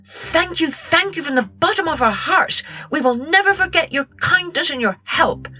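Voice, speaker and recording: A woman voicing a chorus of grateful ducks in a children's story, high-pitched, eager and pleading. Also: lively intonation, normal speed, sharp tone, faint music behind, exaggerated voice.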